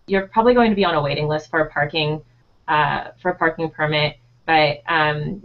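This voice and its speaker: A woman's voice: fairly low pitch, lo-fi sound. A woman in her twenties from Delaware, a graduate student on a live Q&A panel, chatty and searching for words with "um" and "like".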